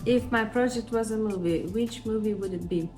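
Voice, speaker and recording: A woman with a Russian accent talks through interview answers about her music, thoughtful and unhurried, often pausing with "uh" and restarting a word midway. Her pitch sits in an ordinary middle range. Faint music plays quietly behind her. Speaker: independent musician, interview guest.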